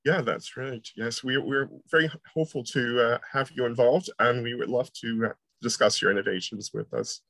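A man's voice: medium pitch, fairly even intonation, normal speed, vocal fry, few pauses, fairly bright tone, no echo. A middle-aged American man answering podcast interview questions, with many hesitant fillers and a friendly manner. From United States. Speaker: university researcher guest.

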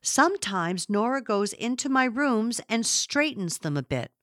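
A woman narrator voicing a calm, patient, quietly reassuring man in a measured, placating way in an audiobook. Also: a little high, no echo, studio quality, voice pushed up. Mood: soothing. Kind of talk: audiobook character reading.

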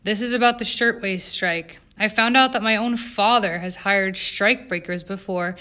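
A woman's voice: on the high side, moderate pace, fairly bright tone, voice pushed up, lightly acted. A woman voicing an indignant, earnest young society woman from an audiobook, emphatic and accusing. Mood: savage outrage. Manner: emphatic, sputtering outrage. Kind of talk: audiobook character acting.

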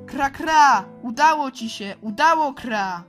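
A croaky crow for a kids audio story, high and sharp, talks slow, caws between words. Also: female voice, animated, soft background music, full character voice. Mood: cheerful. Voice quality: hoarse croaking. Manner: punctuates speech with caws. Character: croaking crow-like bird, scary-looking but good-hearted and grateful. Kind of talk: children's audio play acting.

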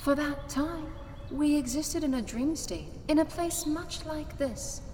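A woman playing a calm alien sentient in an audio drama, speaking in a formal, measured, solemn way. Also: high voice, slight background noise. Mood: solemn, explanatory. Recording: long reverb, distant, music behind.

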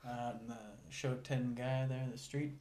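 Male, monotone, rambling and filler-heavy, echoey room. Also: medium pitch, moderate pace, slight background noise. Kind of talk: casual chat.

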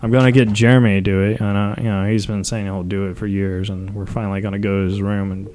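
A man hosting a casual live podcast, talking as a comedian in a rambling, halting, self-deprecating way. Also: fairly low pitch, flat delivery, a little mellow, slight background noise. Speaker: podcast host and stand-up comedian.

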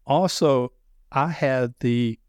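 Loud man with a deep Southern drawl. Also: slow pace, smooth, no room sound, clean studio sound. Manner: loud, animated storyteller with frequent restarts. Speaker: sales podcast guest. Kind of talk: casual conversation.

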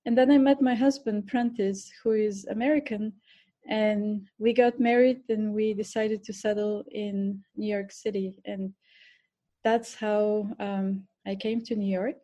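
A woman's voice: medium pitch, fairly even intonation, measured pace, dark tone, lo-fi sound. A middle-aged woman with a Bulgarian accent, a podcast guest telling her story earnestly, with fillers and restarts. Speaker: podcast guest, cooking teacher and restaurant owner. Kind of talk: podcast interview.

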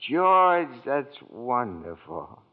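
An old apprentice angel, mild and eccentric, in a 1940s radio drama. He speaks slowly and hesitantly, with expressive rises and falls. The sound is a muffled, narrow old radio recording. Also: mid-range voice. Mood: delighted. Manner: gentle, fussy, hesitant, earnest.

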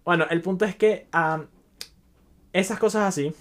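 A man's voice: sits high, moderate pace. A young man from Venezuela with a Venezuelan accent, hosting a casual solo podcast in a loose, chatty way that drops English words in. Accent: Venezuelan Spanish. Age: young adult. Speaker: podcast host.

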